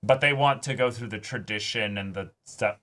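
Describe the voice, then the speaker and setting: A man co-hosting an anime reaction show in casual banter, excitable, swearing freely and repeating words for emphasis. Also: lowish voice, normal speed. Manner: casual profanity.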